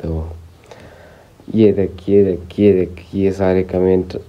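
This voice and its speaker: A man's voice: deep voice, flat delivery, slow pace, dull audio. A teenage boy, a Persian speaker, vlogging casually into a phone held at arm's length, with a sore throat from a cold, chatting to viewers as guys. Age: teens. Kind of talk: casual vlog talk to camera. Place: home rooms and outdoors.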